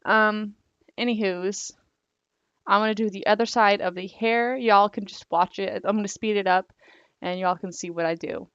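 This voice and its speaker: Croaky young woman losing her voice. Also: mid-range voice, steady tone, normal speed, no room sound, lo-fi sound. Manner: rambling, filler-heavy, apologetic. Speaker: digital art tutorial host. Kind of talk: casual drawing tutorial.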